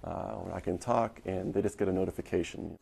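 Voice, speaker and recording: A man with an American accent and a gravelly, husky voice, answering as an executive in an interview. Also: deep voice, steady tone, brisk, mellow. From US East Coast. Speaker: tech company CEO, interviewee.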